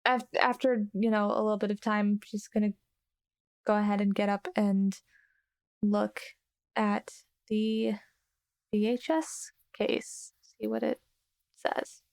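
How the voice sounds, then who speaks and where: Creaky-voiced woman playing a tabletop game. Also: mid-range voice, level tone, takes it slowly, pauses often, darkish tone. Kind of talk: casual improvised narration of her character.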